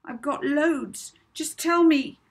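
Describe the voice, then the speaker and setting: Woman voicing an anxious, high-pitched young girl. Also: slow pace. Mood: desperate outburst. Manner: urgent, pleading, stammering. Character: anxious young orphan girl, desperate and defiant. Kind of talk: children's audiobook character voice.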